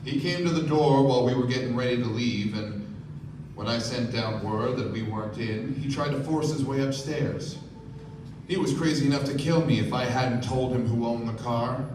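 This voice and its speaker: A man's voice: mid-range voice, normal speed, natural voice. A man playing an arrogant, defiant wealthy man in a staged radio play, blunt and self-justifying. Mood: defiant, bitter. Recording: echoey hall, distant mic, noisy.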